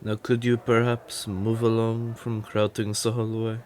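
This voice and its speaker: Man acting a stern low-voiced professor, some background noise. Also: completely flat delivery, darkish tone, close to an everyday voice. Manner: measured, authoritative, dryly disapproving. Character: stern, weary, protective older male professor. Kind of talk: audio roleplay acting.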